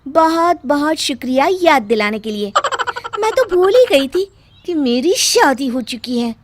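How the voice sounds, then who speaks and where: A woman's voice: sits high, expressive, a little slow, noisy background, voice pushed up. A woman voicing a young daughter-in-law in a cartoon story, pleading and earnest. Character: young wife, frustrated but caring daughter-in-law.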